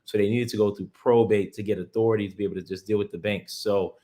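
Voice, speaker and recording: A man's voice: fairly low pitch, fairly even intonation, quick pace, warm tone. A man in his thirties from Miami with an American accent, talking as an interview guest and explaining things earnestly, step by step, in casual language. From Miami, United States. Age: 30s.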